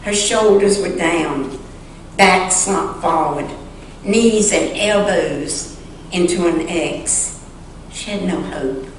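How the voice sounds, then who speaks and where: Echoey, slow-spoken woman storyteller. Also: low-pitched. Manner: deliberate, expressive, pausing between short phrases. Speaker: church member reading a story to the congregation. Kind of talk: dramatic storytelling, read aloud. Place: large church sanctuary. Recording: echoey church sanctuary, distant mic, faint noise.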